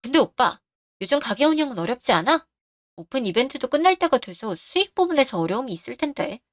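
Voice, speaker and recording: Flirty greedy mistress, woman voicing her, cooing and coaxing, high-ish voice. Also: big pitch swings, fast talker, no echo, studio quality. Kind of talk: Korean radio drama.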